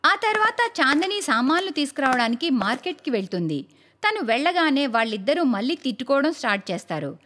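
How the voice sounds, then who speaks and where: Female cartoon storyteller, high voice, very lively intonation. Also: brisk. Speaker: narrator. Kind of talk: scripted storytelling narration for a cartoon.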